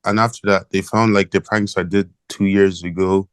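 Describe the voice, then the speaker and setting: A teenage man from Ireland with an Irish accent, calling in as an interview guest over the phone and talking in a hesitant, halting way with many fillers. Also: deep voice, steady tone, smooth, no room sound, clean studio sound. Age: teens. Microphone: phone call.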